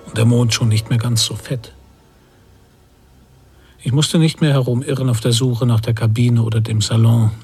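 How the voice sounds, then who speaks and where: Husky, melancholy emigrant father. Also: mid-range voice, a little mellow, heavily acted. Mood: weary, reflective. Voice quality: husky, gravelly. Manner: slow-building, brooding reflection in verbless fragments. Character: melancholy post-war emigrant father on a ship's deck. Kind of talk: literary radio drama monologue.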